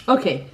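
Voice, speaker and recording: A woman's voice: fairly bright tone, recorded from a distance. A young Nigerian woman, Igbo by birth, chatting casually through a list of questions for her vlog, giggling and often restarting her sentences. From Nigeria, Imo State. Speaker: vlogger. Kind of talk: casual chat answering a tag list.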